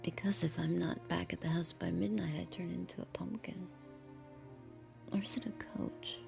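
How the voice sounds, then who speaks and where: An actress playing a wary, subdued captive woman in an intimate audio drama, hushed, hesitant and quietly wry. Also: on the low side, fairly even intonation, normal speed, gravelly, whispered. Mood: guarded, wry. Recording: music under the voice.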